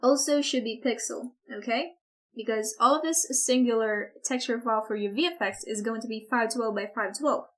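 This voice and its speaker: A woman with a fairly high voice walks through a screen-recorded software tutorial at her desk mic. She talks in a loose, chatty way, full of "like" and "kind of", and her voice is a little hoarse and worn today. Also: normal speed. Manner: casual, chatty, lots of "like" and "kind of". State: losing her voice, a bit hoarse. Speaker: online course instructor.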